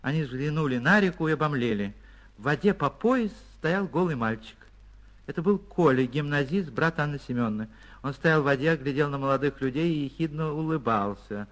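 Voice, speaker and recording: A man reading a literary short story for radio as an actor, expressive and theatrical, with deliberate pauses. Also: fairly high pitch, low-quality recording. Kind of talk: dramatic literary narration for radio.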